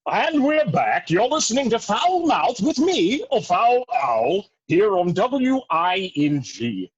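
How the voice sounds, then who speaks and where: A man's voice: high voice, sing-song, slow pace, slightly rough, full character voice. A man playing an owl who hosts a radio talk show, showy and corny, in a comedy table read. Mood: showy, polished. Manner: showy broadcaster delivery with corny asides. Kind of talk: comedic audio drama table read, mock radio interview. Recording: dull lo-fi online call audio.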